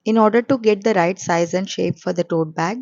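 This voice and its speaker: Hoarse woman voicing a sewing tutorial. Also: mid-range voice, a little fast, no echo, lo-fi sound. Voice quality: coarse. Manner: calm step-by-step explaining. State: hoarse from a cold. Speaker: sewing channel host.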